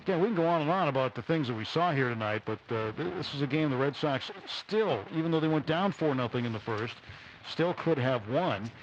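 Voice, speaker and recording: A man's voice: medium pitch, animated, a little fast. A man giving unscripted postgame analysis as a baseball radio broadcaster. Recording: dull, faintly noisy radio broadcast.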